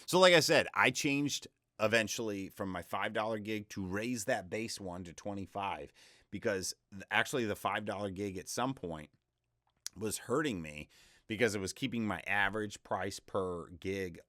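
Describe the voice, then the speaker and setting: A young man with a low, deep voice talks through a casual tutorial. He rambles and cuts himself off mid-thought, but keeps the advice upbeat. The sound is close and dry, with no room around it. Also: normal speed, pushed and bright, dry recording. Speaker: voice-over freelancer teaching online selling. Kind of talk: casual screen-share tutorial.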